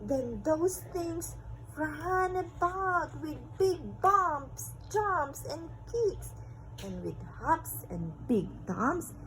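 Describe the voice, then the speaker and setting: A woman's voice: sits high, big pitch swings, extremely slow, a bit bright, slight background noise, low-quality recording. A woman reading a children's picture book aloud to her baby in an emphatic, child-directed way, often repeating words.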